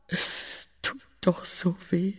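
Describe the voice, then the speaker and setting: An actor playing a plaintive, self-pitying mother in a radio play, whining and drawing out the laments. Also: a bit bright. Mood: wailing. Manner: whining, drawn-out laments, swooping between wail and mutter. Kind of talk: German radio play acting.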